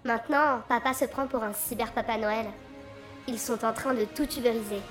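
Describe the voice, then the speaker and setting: Very high-pitched young militant daughter, played by a woman. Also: steady tone, moderate pace, music in the background, lightly acted. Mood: indignant, conspiratorial. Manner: casual, slangy, indignant. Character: Louise, Santa's daughter, outspoken young militant striker. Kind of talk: comic audio fiction acting.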